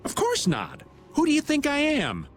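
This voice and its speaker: An actor voicing a laid-back, wry middle-aged adventurer in a video game, drawling and folksy. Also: male voice, extremely high pitch, huge pitch swings, normal speed, faint music behind, exaggerated voice. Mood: comic grumbling. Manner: drawling, folksy, theatrically grumbling.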